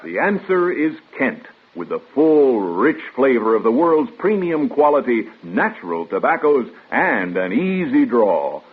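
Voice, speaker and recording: A man announces a vintage radio commercial with an emphatic sales delivery. His husky, mid-range voice swings widely in pitch over a thin, phone-like recording. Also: very animated, unhurried, few pauses. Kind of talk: scripted 1950s radio advertising pitch. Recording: thin, band-limited old radio recording.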